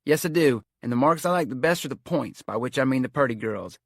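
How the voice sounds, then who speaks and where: A man's voice: medium pitch, expressive, brisk, creaky, dry recording, studio quality, pitched above the performer's own voice. A man voicing a peppy little carnival shooting gallery man in his seventies with folksy, leering banter.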